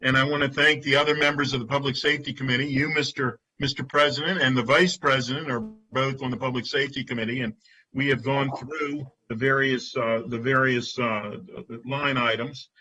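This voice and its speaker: A man's voice: mid-range voice, fairly even intonation, fairly quick, sharp tone, low-quality recording. A man chairing a committee, making formal, emphatic remarks in a council meeting over a video call. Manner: emphatic, appreciative.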